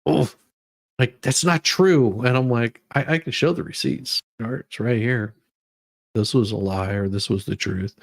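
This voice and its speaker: A man is hosting a casual livestream from a room at home. His voice sits at an average male pitch, with very little room sound. He rambles along haltingly, often repeating words as he chats to his viewers. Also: gravelly, no room sound. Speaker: livestream host.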